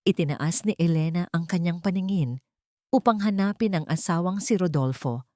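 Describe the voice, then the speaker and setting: Low-voiced woman narrator, close dry sound. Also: moderate pace, a little mellow, dry recording. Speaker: story narrator. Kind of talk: dramatic scripted narration of a love story.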